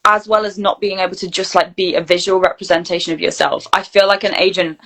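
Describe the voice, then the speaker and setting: A woman's voice: on the low side, steady tone, fast, fairly bright tone. A woman guest chatting informally and candidly in a casual interview. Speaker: interview guest, actor and voice reel studio founder.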